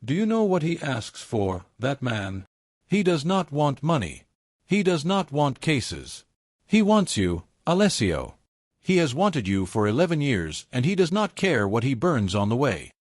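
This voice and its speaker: Man voicing a quiet, bitter middle-aged mafia lawyer. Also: medium pitch, very animated, dry recording, clean studio sound. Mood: bitter confession. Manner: quiet, measured, deliberate. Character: tidy fifty-five-year-old male mafia lawyer, resigned, bitter traitor.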